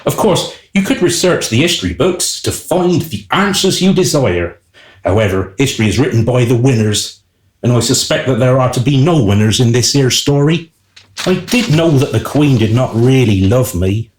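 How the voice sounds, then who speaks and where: Narrator, wry male servant, played by an actor. Radio play storytelling, arch, mid-pitched, swooping delivery. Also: animated, normal speed, reverberant, lightly acted. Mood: wry, reflective. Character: wry, self-serving male servant narrating his tale.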